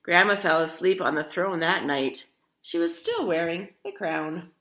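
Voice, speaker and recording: Animated, bright-voiced woman reading a storybook. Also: lowish voice, measured pace. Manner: theatrical, emphatic. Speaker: storybook reader. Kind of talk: expressive children's story read-aloud with chatty asides.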